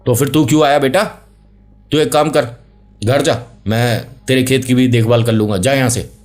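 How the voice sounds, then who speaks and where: A man voicing an elderly village uncle who urgently and insistently scolds a youngster for being out in the dark. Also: low voice, normal speed, soft background music.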